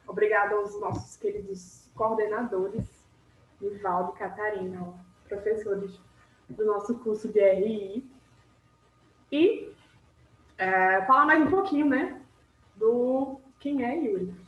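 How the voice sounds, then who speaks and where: A woman hosting an online talk, introducing the guest in a casual, chatty way with many fillers. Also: fairly high pitch. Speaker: event host, student. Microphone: video call. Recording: roomy, dull video call audio with faint noise.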